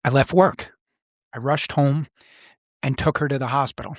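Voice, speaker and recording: A man's voice: medium pitch, fairly even intonation, moderate pace, dry recording, clean studio sound. A middle-aged man telling personal stories in a serious, plain-spoken, reflective way as a podcast host. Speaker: podcast host, former police officer. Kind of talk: reflective personal storytelling in conversation.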